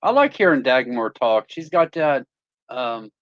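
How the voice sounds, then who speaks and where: A man's voice: medium pitch, sing-song, measured pace, a bit bright, highs cut off. A man joining a livestream panel as a guest, chatting casually and agreeably. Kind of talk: casual chat. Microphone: laptop.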